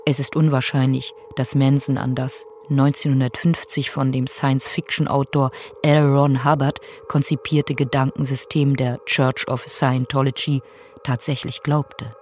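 A man reading scripted documentary narration in a sober, measured, matter-of-fact way. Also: medium pitch, flat delivery, darkish tone, a little hiss or hum.

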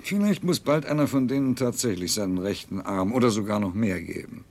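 A man's voice: on the low side, lively intonation, a little fast, darkish tone. Dry, calculating dystopian king. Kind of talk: 1970s German science fiction radio drama acting.

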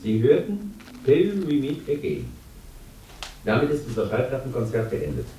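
An actor playing a solemn, formal radio announcer and lecturer, heard through a radio set in a drama. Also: male voice, medium pitch, huge pitch swings, normal speed, mellow, a little hiss or hum. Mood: formal, grave. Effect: voice from a radio set within the play. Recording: very echoey, distant radio voice.

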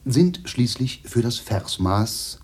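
A glib machine salesman from America in a radio drama. His chatty patter swings widely in pitch at a medium register. He is eager to please and always selling. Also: very animated, takes it slowly, noisy background. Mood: smooth, explaining. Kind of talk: radio play acting.

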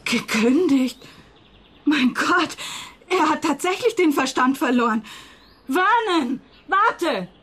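An actress in a German radio play voicing a dismissive, exasperated wife. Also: female voice, sits high, expressive, slow pace, a bit bright, a little hiss or hum, full character voice. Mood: bewildered.

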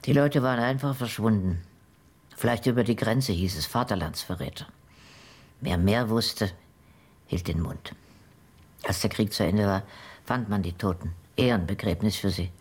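An actress playing a shrewd, determined old woman in a radio drama, telling her story wryly with a husky voice. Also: extremely low pitch, normal speed, pauses often, a little mellow. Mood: grave, recounting. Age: older. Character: shrewd, determined old woman, returned emigrant investigating betrayal.

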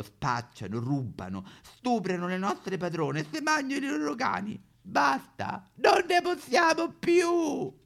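A man's voice: on the high side, vocal fry, a bit bright, heavily acted. A man voicing a bitter, fearful mongrel dog in a satirical stage monologue, grumbling in colloquial Roman-accented Italian. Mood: ranting. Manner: grumbling, colloquial, exaggerated complaining.

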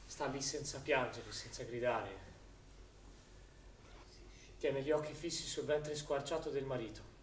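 An actor playing a grave narrator of a wartime tragedy, with theatrical delivery that moves between hushed and forceful. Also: male voice, mid-range voice, a little slow, pauses often, lightly acted. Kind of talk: dramatic scripted stage narration. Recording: echoey, distant recording with faint noise.

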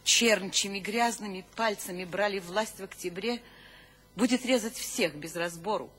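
A woman's voice: mid-range voice, moderate pace, fairly bright tone, breathy edge. An actress playing a solemn, commanding female poet and conspirator, grave and urgent, in a radio drama. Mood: ominous, persuasive. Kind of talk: theatrical radio drama acting. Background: music.

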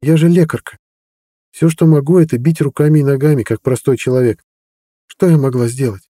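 A man's voice: fairly even intonation, a little fast, mellow, no room sound, clean studio sound, close to an everyday voice. A man reading an audiobook voicing a young woman healer, frightened and guilty, in a raised pleading voice. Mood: defensive. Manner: higher, pleading and defensive.

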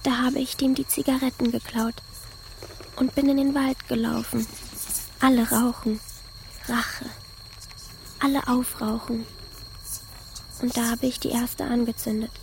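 A woman's voice: on the high side, little pitch movement, a little slow, vocal fry, pauses often, slight background noise, low-quality recording. A woman voicing the sullen, haunted ghost of a little girl in a radio drama, in short, childlike, repeated phrases. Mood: haunted, resentful.

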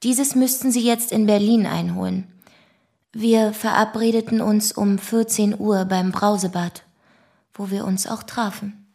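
A woman's voice: mid-range voice, fairly even intonation, unhurried, gravelly, a little mellow. An actress playing a sober young woman who gives formal testimony in a radio drama.

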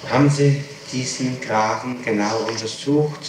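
A man questioning someone formally as a prosecutor, with measured, probing phrases broken by hesitant pauses. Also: medium pitch, steady tone. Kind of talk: formal interrogation. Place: reverberant room, interrogation recorded on tape. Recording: very echoey archival tape, clearly noisy.

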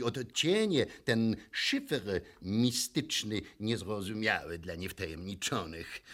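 An actor playing a pompous, snobbish aristocrat, declaiming grandly and theatrically in a radio play. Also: male voice, on the low side, fairly bright tone, heavily acted. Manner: pompous, declamatory, drawn-out word by word.

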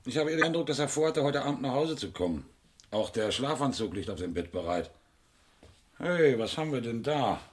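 A man playing a probing, middle-aged police inspector in a German radio crime play, dry and measured in his questioning. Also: mid-range voice, animated, slight background noise, close to an everyday voice. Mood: searching, matter-of-fact.

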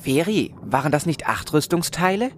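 A woman's voice: low voice, very animated, moderate pace. A woman playing an earnest, noble-minded adventurer in a comedic fantasy audio drama. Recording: faint background noise.

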